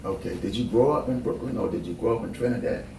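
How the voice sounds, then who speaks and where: A man from Bermuda, raised in New Jersey, hosting an interview in a formal, halting way with many pauses. He is recorded from a distant mic in an echoey room with faint noise. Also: fairly low pitch. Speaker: host.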